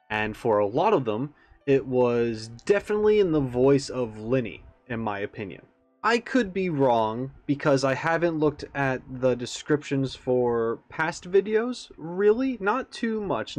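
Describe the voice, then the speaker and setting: Musing man rambling through casual commentary, music behind. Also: mid-range voice. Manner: musing, tentative, thinking aloud. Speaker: YouTube reaction host.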